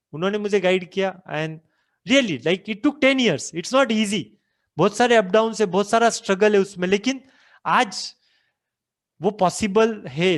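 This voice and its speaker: A man in his thirties from India, a business coach giving a motivational talk who asks the listeners rhetorical questions. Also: sits high. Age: 30s.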